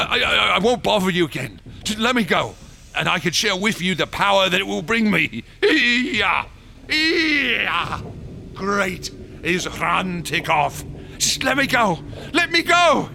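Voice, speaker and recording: Crazed gloating artist in horror radio play, high pitched, whispers to shrieks, noisy track. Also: male voice, gravelly, sharp tone, exaggerated voice. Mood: frantic pleading, then raving frenzy. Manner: theatrical, swinging from whispers to shrieks, cackling. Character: deranged, fanatical wax sculptor, gloating and raving. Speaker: actor in a radio drama. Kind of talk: horror radio drama acting.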